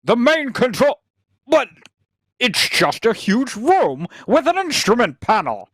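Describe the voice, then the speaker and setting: A woman's voice: a little high, very animated, slow, slightly rough, no echo, clean studio sound, heavily acted. A woman playing an anxious, hesitant young male scientist with orthodox beliefs in a radio sci-fi drama. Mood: incredulous. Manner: hesitant, incredulous, protesting. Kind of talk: cold-read old-time radio sci-fi drama.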